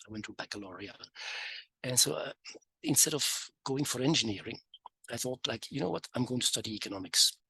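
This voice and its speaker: Gravelly Flemish-accented man, bright voice. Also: mid-range voice, low-quality recording. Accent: Flemish Belgian. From Belgium (Dutch-speaking part). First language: Dutch. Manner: relaxed storytelling with many fillers. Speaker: guest economist. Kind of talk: casual interview talk.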